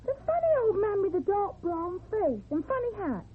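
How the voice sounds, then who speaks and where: A woman's voice: very high-pitched, very animated, moderate pace, slight background noise. A woman playing an innocent, matter-of-fact little girl in a radio horror drama. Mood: matter-of-fact, wondering. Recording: muffled, band-limited old radio recording.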